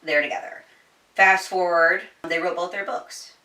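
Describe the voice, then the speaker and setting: A woman chatting informally through a book recap, slangy and sweary, telling it like an animated storyteller. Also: fairly low pitch, a little slow, far from the mic. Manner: animated storyteller, voicing quoted dialogue. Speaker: book reviewer. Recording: echoey room, somewhat off the mic.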